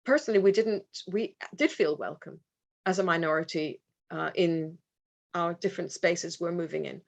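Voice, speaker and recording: A woman presents at a conference in a reflective, earnest way. Her voice sits in a middle pitch. She often pauses and restarts her sentences as she works through her slides. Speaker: conference speaker, parent. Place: conference hall.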